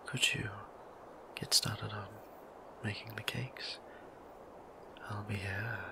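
Cast a man as a kind, nervous vampire priest: unhurried, whispery, stammering, over noisy ambience. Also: lowish voice, flat delivery. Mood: gentle. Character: gentle, flustered male vampire priest. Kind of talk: ASMR audio roleplay.

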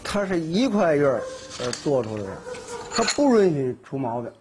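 A man's voice: a little high, normal speed. Plain-spoken man in his sixties. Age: 60s. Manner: plain-spoken, explains his craft with lively emphasis. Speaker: woodworker. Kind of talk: documentary interview. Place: cluttered indoor workshop. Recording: documentary music underneath.

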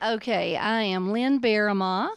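A woman's voice: mid-range voice, measured pace, clean tone, no echo. A woman in her sixties from Georgia introducing herself in a light, friendly way. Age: 60s. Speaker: guest.

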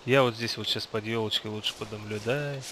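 A man's voice: on the low side, fairly even intonation, brisk, fairly bright tone. A man chatting casually with his teammates while playing a game together. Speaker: gamer in a group session. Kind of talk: casual gaming chat. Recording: clearly noisy voice-chat microphone.

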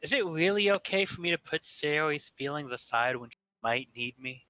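A man's voice: fairly high pitch, expressive, normal speed, pushed and bright. A man reading scripted first-person narration from a visual novel as part of a group staged reading.

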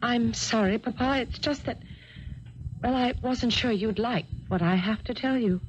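A woman acting in a 1970s radio drama, playing a distressed, earnest young English heiress with formal, halting phrasing. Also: a little high, fairly even intonation, lightly acted. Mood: nervous, earnest. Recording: dull noisy old radio recording.

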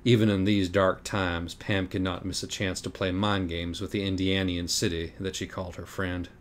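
Man, low voice, audiobook narrator, scripted storytelling. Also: flat delivery, normal speed. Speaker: audiobook reader. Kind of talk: scripted audiobook narration of a parody children's book.

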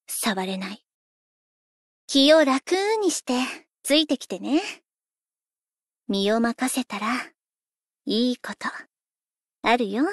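A woman's voice: high-pitched, dry recording, studio quality. A woman playing a playful, laid-back young pop idol in scripted game lines, coaxing and lightly teasing in a breezy way. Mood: inviting, relaxed.

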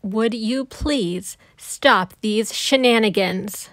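A woman voicing a fussy, proper grown-up man, an easily flustered babysitter in a children's storybook, in a prim, drawn-out way. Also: on the high side, a bit bright, no echo, studio quality. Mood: exasperated. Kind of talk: children's storybook read-aloud.

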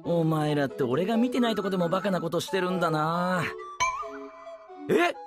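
A man's voice: sits high, normal speed, music in the background, close to an everyday voice. A man playing a sarcastic, exasperated teenage boy in an anime audio drama, blunt and dry with explosive retorts. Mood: weary, scolding.

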